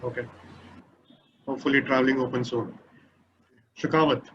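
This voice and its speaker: A man moderating an online workshop in a relaxed, conversational way over a video call. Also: mid-range voice, normal speed, a little hiss or hum. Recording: muffled video-call audio.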